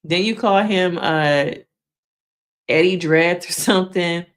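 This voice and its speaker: A woman's voice: fairly low pitch, slow pace. A woman hosting a casual live stream, chatting frankly with viewers, laughing often, and losing her voice.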